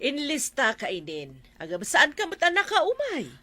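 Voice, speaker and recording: An actress as a bossy village auntie with an Ilocano accent. Her voice is fairly high with lively swings in pitch, recorded dry, in a brisk comedy drama style. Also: no room sound, exaggerated voice. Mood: brisk, reluctant.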